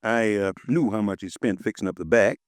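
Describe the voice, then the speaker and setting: A man's voice: fairly low pitch, brisk, no room sound, clean studio sound, natural voice. An actor playing a stocky, red-faced insurance agent in his late fifties, chatty, folksy and easily riled, in a 1950s radio drama. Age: 50s. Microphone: close studio mic, dry.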